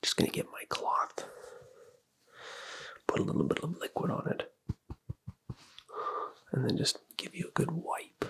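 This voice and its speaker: An ASMR performer speaking in a breathy whisper, soothing and flattering in a personal-attention roleplay. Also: measured pace, long pauses. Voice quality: breathy, mostly unvoiced whisper.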